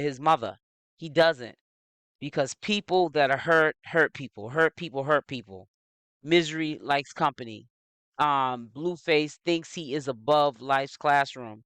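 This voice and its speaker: A man's voice: on the high side, fairly bright tone, clean tone, dry recording. A man hosting his own commentary channel, delivering an opinionated gossip monologue in a preachy, admonishing way, repeating phrases for emphasis. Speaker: social media commentator, channel host.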